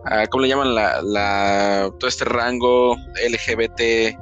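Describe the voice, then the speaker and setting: A man hosting a casual podcast conversation, talking in a halting way with many restarts and fillers. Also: mid-range voice, steady tone, a bit bright, dull audio, faint music behind. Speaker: podcast host.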